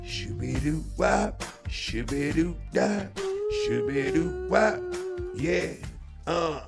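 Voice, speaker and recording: A male show host with a high-pitched, crackly voice. He moves between freestyle rap and casual, profane banter in a laid-back, slangy way. He takes his time with the words, and loud music plays under him. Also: wildly swooping pitch, extremely slow, creaky.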